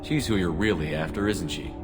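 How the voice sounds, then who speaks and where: A man playing a stoic military commander in a video game, terse and steady, with long reverb on the voice. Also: low-pitched, fairly quick, loud music behind the voice, lightly acted. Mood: firm. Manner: terse, steady, matter-of-fact.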